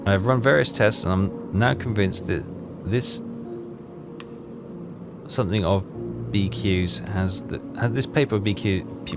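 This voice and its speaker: A man with a low voice narrates his way through a game in a casual, halting way. He mutters his thoughts and reads passages aloud. Loud music plays behind him. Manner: muttering, thinking aloud, halting while reading. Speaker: video game walkthrough player. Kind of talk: casual game commentary mixed with reading in-game text aloud.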